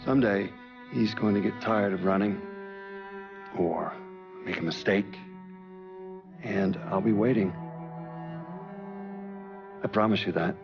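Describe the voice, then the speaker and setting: Dogged older federal agent, game cutscene. Low register, deliberate dry delivery, dull sound with prominent music. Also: male voice, creaky. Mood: grimly determined. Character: weary older male FBI agent, dogged and resentful. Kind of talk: documentary interview monologue, acted. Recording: dull muffled sound under loud music. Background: instrumental music.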